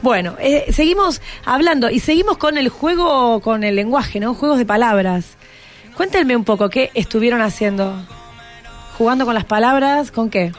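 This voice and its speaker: Female Argentine radio host, fairly high voice, encouraging casual interviewer, light music underneath. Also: moderate pace. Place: radio broadcast.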